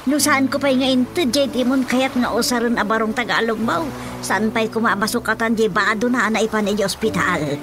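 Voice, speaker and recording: A woman's voice: a little high, fairly quick, noisy background, lightly acted. A woman playing a fussing older wife. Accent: Ilocano. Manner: fussing, scolding. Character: older wife, scolding and fussing at her husband. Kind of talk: radio drama comedy acting.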